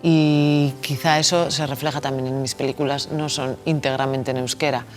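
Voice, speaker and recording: Thoughtful Basque woman, flat delivery. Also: rumbling low, moderate pace, soft background music. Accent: Basque Spanish. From Basque Country, Spain. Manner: thoughtful, hesitant, repeats words while searching for them. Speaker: film director. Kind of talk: reflective interview answers.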